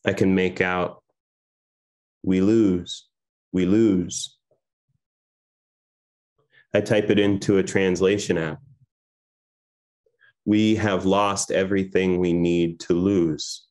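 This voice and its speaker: Poet in his 30s, deep low voice, reading over a laptop webcam at home. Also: little pitch movement, slow pace, pauses often. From Iran. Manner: soft-spoken, hesitant with many fillers between poems. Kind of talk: poetry reading. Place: home room with framed pictures on the wall. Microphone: laptop webcam video call.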